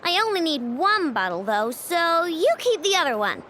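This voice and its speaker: Tiny stubborn girl inventor for a game, super high voice, bouncy range, breezy and coaxing. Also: sing-song, moderate pace, bright, forceful tone, slight background noise, exaggerated voice. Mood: confident, breezy. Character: spirited young girl inventor, stubborn and chatty. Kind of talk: video game voice acting. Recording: background music behind the voice.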